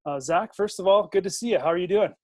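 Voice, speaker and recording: A man with a fairly high voice works as an interview host. His delivery is that of a presenter putting questions to a guest, and the sound is very dry, with no room echo. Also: quick pace, a little mellow. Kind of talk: hosted interview.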